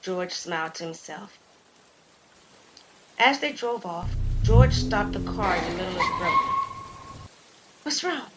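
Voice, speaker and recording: A woman's voice: lowish voice, expressive, unhurried, long pauses, background noise. A woman reading scripted story narration as a storyteller.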